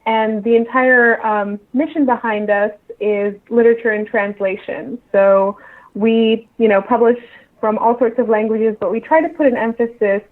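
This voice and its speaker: A woman's voice: fairly high pitch, fairly even intonation, moderate pace. A woman giving casual interview answers as a guest on a remote call. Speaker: interview guest, associate editor at a publisher. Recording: muffled, band-limited remote call line.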